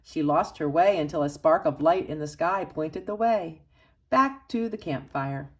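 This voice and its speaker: A woman reading a children's picture book aloud expressively, with dramatic emphasis and pauses for young listeners. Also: deep voice, lo-fi sound. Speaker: storybook reader for children's church.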